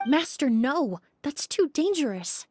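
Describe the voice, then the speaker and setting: An actress playing a caring, cautious young woman apprentice in a video game, with a breathy voice. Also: sits high, big pitch swings, measured pace, a little mellow, a little hiss or hum. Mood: worried.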